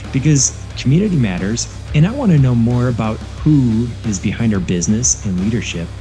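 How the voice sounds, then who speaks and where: A man's voice: lowish voice, warm tone. A man hosting a podcast, upbeat and affirming as he welcomes and questions his guest. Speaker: podcast host.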